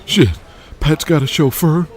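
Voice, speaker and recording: A man's voice: a little high, a little slow, a little mellow, pitched above the performer's own voice, natural voice. A man voicing a brash, crude, muscle-bound wrestler from Texas in an audio drama. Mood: incredulous.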